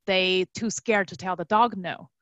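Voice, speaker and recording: A woman's voice: lowish voice, a little slow, no echo, dull audio. Young woman from China, dry close sound. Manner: animated storytelling, frequent tag questions, quotes imagined clients. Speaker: guest, dog trainer. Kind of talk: casual interview talk.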